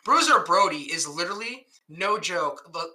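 A man's voice: sits high, normal speed. A man co-hosting a podcast, casually recapping with frequent laughs and plenty of swearing. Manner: laughs often, swears freely, animated recapping. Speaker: podcast co-host. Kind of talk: casual chat.

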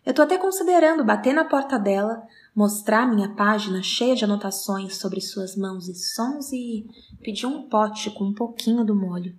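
A woman acting as a solitary, obsessive counter of everyday sounds, precise and wryly keen. Her mid-pitched voice confides in an intimate audio-drama monologue, shaping phrases deliberately with dramatic pauses. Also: natural voice. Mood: triumphant, eager.

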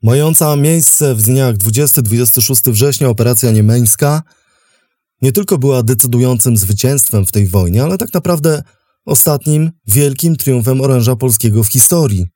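A man hosting and narrating a history programme in a measured, formal, scripted documentary style. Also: medium pitch, dark tone, dry recording, clean studio sound. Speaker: host and narrator.

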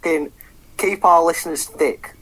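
A man's voice: fairly high pitch, normal speed, a bit bright, low-quality recording. A young man co-hosting a casual football podcast, swearing a lot and rambling with many ums. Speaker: podcast co-host.

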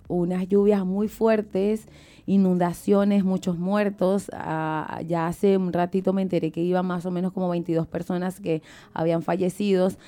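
Mellow woman chatting on radio. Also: fairly low pitch. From Venezuela. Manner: chatty, descriptive, addresses the audience. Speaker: radio co-host. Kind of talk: casual live radio chat.

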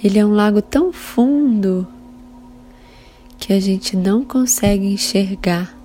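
A Brazilian woman leading a guided meditation in a calm, soothing, measured way with long pauses between phrases. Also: mid-range voice. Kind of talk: slow guided meditation narration. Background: soft sustained meditation music.

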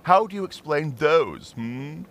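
A man's voice: on the high side, expressive, unhurried, a bit bright. A man playing a pompous, credulous Puritan witch-hunter in a comedic audio play, with haughty old-fashioned phrasing. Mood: indignant. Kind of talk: comedic audio theater acting.

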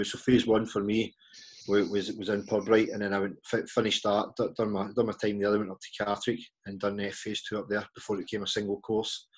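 A man in his forties with a Glaswegian Scottish accent and a fairly low voice talks through his past. He stops and restarts often, doubles his words and fills the gaps as he goes. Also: husky. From Scotland. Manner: halting, repeats words, many fillers. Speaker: podcast guest. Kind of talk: casual interview chat.

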